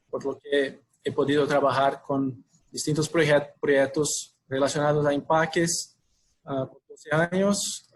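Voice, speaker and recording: A man from Brazil with a Brazilian Portuguese accent, a native Portuguese speaker giving a technical webinar presentation in a halting way with many fillers and restarts. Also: medium pitch, slight background noise, rough recording.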